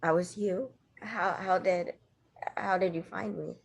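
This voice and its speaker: A woman plays an inquisitive reporter in an amateur audio drama. Her voice is a little low for a woman, and her delivery is light and good-humoured. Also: steady tone, measured pace, creaky. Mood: surprised, questioning. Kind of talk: fan audio drama table read.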